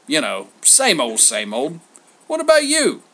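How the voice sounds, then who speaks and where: A huge bearded god with the patter of a hipster hustler. The voice shoots high and swings widely, with a bright, forceful edge. He schmoozes, brags and wheedles in slang, like a salesman in a comic myth. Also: huge pitch swings, a little slow, gravelly, exaggerated voice. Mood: bragging. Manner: slangy, schmoozing, boastful, theatrically wounded. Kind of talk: comic story character voice.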